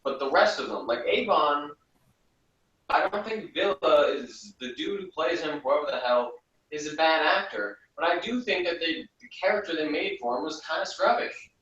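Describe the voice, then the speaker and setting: A man giving casual, critical opinions on a TV show in a hesitant, halting way. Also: a little high, animated, sharp tone. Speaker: podcast reviewer. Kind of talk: casual TV review chat. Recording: roomy, distant, slightly muffled.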